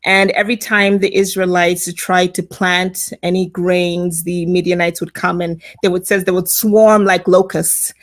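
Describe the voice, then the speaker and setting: A woman's voice: lowish voice, little pitch movement, measured pace, few pauses. Storytelling woman podcast host. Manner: storytelling, acts out quoted lines. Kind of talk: casual faith podcast chat.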